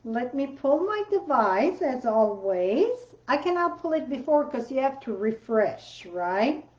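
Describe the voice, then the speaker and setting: Brief: woman host with a French accent, high and unhurried, chatty livestream demo, roomy home craft-room sound. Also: big pitch swings, a little mellow. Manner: chatty, rambling, loose non-native grammar. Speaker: card-making livestream host. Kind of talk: casual live demo chat. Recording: roomy, slightly dull sound.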